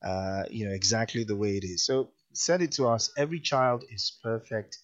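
A man's voice: on the low side, fairly even intonation, moderate pace, dull audio. A man with a Nigerian accent hosting a radio show in casual on-air chat. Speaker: radio host.

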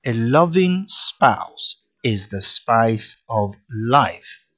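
A Nigerian man hosts a morning radio show in a lively, teasing voice and leans hard on his points. His voice sits at a middle pitch. The sound is a bit muffled. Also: extremely slow. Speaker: radio host.

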